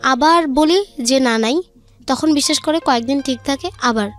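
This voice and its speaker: A woman's voice: high voice, moderate pace, fairly bright tone, faint music behind. A young woman with a Bangladeshi accent, a guest telling her own life story in informal speech. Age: young adult.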